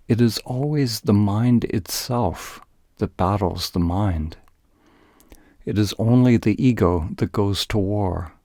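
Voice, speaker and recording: A man narrating a guided meditation in a calm, gentle, deliberate way, leaving pauses between phrases. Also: lowish voice, gravelly, no echo, clean studio sound.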